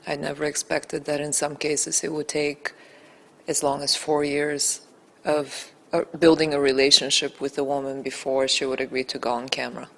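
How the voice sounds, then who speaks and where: A native Bulgarian woman answering interview questions as a documentary director, earnest, deliberate and emphatic. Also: low voice, flat delivery, low-quality recording.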